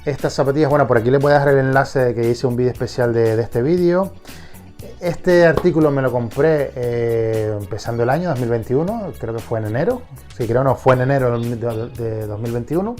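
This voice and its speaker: A man's voice: medium pitch, a little fast, a little mellow, faint music behind. Stuffy-nosed man. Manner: enthusiastic, many restarts. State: congested with a cold, nasal. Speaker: collector vlogger. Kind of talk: casual vlog.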